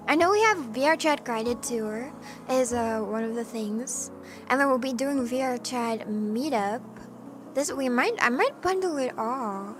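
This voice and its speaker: A woman streamer chatting casually with her live chat, with a hoarse voice and a playful cat persona. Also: on the high side. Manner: chatty and playful, often clearing her throat. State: hoarse from allergies. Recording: music playing in the background.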